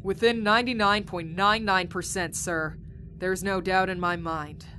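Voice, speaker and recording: A woman's voice: mid-range voice, fairly even intonation, unhurried, sharp tone, a little hiss or hum. A woman playing a confident, dutiful military science officer, speaking formally and precisely in a sci-fi drama. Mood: matter-of-fact. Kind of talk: sci-fi anime parody audio drama acting. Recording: music under the voice.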